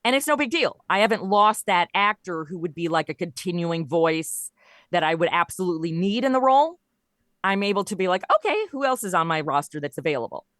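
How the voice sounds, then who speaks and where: A woman chatting casually as a podcast guest, telling things in an animated, conversational way and voicing bits of dialogue as she goes. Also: lowish voice, fairly quick, dry recording, studio quality. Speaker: podcast guest, audio drama creator and voice performer. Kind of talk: casual interview chat.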